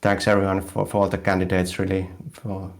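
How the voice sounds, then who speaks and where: Finnish-accent host, super deep flat gravelly voice, casual loose chat on a call. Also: male voice, a little fast. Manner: loose, filler-heavy chat with lots of "like". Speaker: AMA host. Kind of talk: casual moderating.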